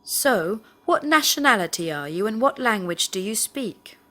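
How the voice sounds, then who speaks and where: A woman's voice: medium pitch, sing-song, normal speed, pushed and bright. A woman in the role of a language teacher, reading scripted questions with careful phrasing. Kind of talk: scripted question drill, read aloud.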